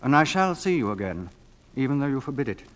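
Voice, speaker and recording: Actor as animated, suave flirt. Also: male voice, medium pitch, fast, lightly acted. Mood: ardent, flirtatious. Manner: smooth, teasing, mock-hesitant courtship. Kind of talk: 1940s-style radio drama acting.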